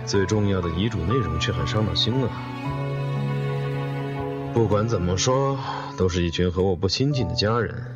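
A man playing an elderly company chairman, low voice, grave and deliberate, radio drama, loud music behind a dull recording. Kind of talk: audio drama acting. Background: loud background music.

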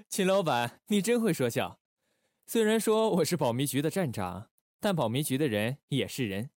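Man acting a smooth, lively-toned spy chief. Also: fairly high pitch, unhurried, dry recording, studio quality. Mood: teasing. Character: suave, calculating male spy station chief in Republican China. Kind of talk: radio drama acting.

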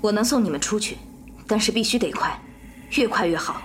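A woman's voice: medium pitch, expressive, a little fast, slight background noise, natural voice. A woman playing a cool, resolute female leader in an audio drama, speaking with firm authority and protective resolve. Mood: urgent.

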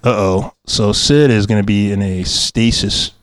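A man's voice: fairly low pitch, fairly even intonation, measured pace, noisy background. A man co-hosting a podcast in casual after-show banter, hesitant, meandering and jokey in his delivery. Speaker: podcast co-host.

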